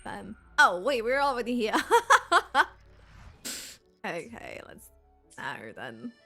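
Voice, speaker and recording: A woman livestreamer giving casual reaction commentary on a game, chatty and expressive, often halting mid-thought. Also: medium pitch, husky. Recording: game music behind the voice. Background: game music.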